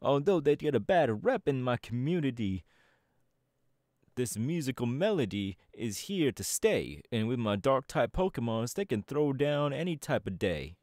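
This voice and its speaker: A man's voice: mid-range voice, big pitch swings, moderate pace, a little mellow, dry recording, studio quality, natural voice. A man voicing a cocky young male DJ who leads a gang, boasting and talking straight to the listener in an audio roleplay. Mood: boastful. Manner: boastful, swaggering, talks to the listener. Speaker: Team Star leader in a roleplay.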